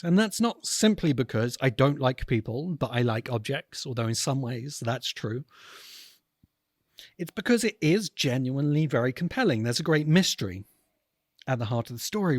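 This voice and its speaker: A man hosting a podcast, giving reflective commentary in deliberate, emphatic phrases with pauses. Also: medium pitch, no echo, studio quality. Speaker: podcast host. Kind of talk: reflective commentary on books and film.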